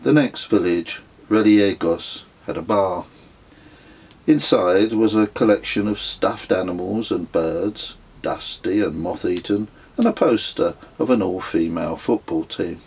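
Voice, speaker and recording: A middle-aged man reading his own travel memoir as an audiobook, in a measured, dryly wry manner. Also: deep voice, darkish tone, slightly rough. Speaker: author reading own book.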